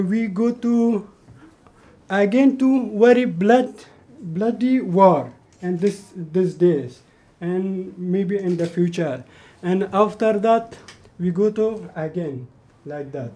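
Man, high voice, slow and hesitant, presenting his artwork in broken English. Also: mellow. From Afghanistan. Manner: hesitant, many fillers and restarts. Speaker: artist presenting an installation.

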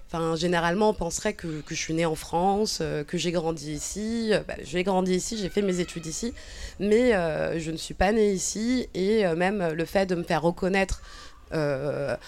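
A woman's voice: on the low side, normal speed, darkish tone. A woman in her thirties, born in Cabinda, speaking reflectively and hesitantly as an interview guest, with many fillers and restarts. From Cabinda, Angola. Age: 30s. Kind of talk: personal testimony in conversation.

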